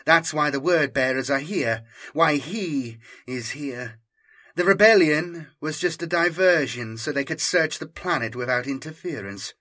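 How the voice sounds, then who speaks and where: Man voicing a stern, steely female inquisitor, raised pitch. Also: a little slow, sharp tone. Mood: alarmed, urgent. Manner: grave, steely, intense. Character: stern, commanding female inquisitor in grim far future.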